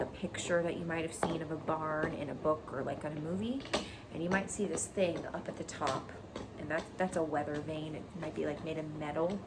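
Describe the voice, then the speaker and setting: A woman teacher reading a children's story expressively, with casual explanations. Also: sits low. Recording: noticeable background noise.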